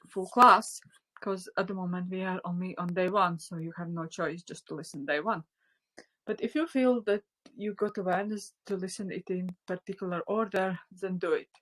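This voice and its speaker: A woman's voice: fairly low pitch, fairly bright tone, low-quality recording. A woman whose first language is Latvian, teaching a live online spiritual class, talking off the cuff with many fillers and restarts, sometimes dropping to a near whisper, recorded on a phone.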